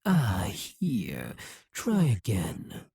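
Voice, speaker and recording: A scheming demon king, speaking in a breathy, husky hush. He takes his time, slow and deliberate, each word pressed close in a dry, dead room. Possessive and intense, he leans right into the listener's ear. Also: on the low side, wildly swooping pitch, no room sound, exaggerated voice. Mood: musing. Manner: slow, measured, intimate delivery. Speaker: voice actor. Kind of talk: audio roleplay acting.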